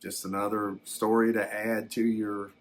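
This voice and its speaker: A man playing a gentle, rambling older man who recalls his alien abduction in a hesitant, folksy, reflective way for an ASMR story. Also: fairly low pitch, level tone, a little slow. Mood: reflective, halting. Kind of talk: first-person ASMR storytelling.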